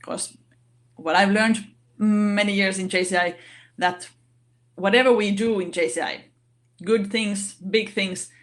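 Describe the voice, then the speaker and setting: A woman speaking English as a non-native language, giving an online session talk in a halting way with many fillers and restarts. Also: medium pitch, steady tone. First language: not English. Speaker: organization vice president giving a talk.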